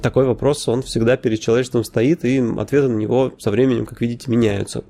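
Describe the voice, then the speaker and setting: A man hosting a casual podcast, his mellow, warm voice sitting at a middle pitch. He talks off the cuff, with filler sounds and quick self-corrections. He drops wry, opinionated asides along the way. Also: gravelly. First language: Russian. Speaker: podcast host.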